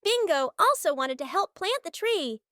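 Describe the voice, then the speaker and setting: A woman's voice: very high-pitched, very animated, moderate pace, pushed and bright, dry recording, clean studio sound. A woman reading scripted narration for a children's cartoon story. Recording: music behind the voice.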